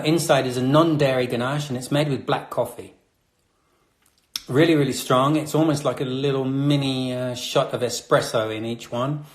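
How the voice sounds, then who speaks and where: A man from Britain, a chocolatier hosting a casual live chat, talking in a chatty, slightly nervous way with many fillers. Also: medium pitch. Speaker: chocolatier host. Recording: roomy echo.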